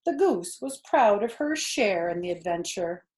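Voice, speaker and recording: A woman's voice: mid-range voice, lively intonation, unhurried, a little mellow. A woman storyteller reading a children's book aloud expressively, with chatty asides to young listeners.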